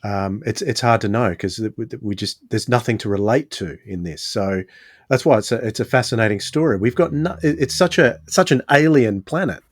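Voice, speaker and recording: Pondering man, lowish voice, dry sound. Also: fairly quick, dry recording. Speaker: podcast panelist. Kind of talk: casual discussion.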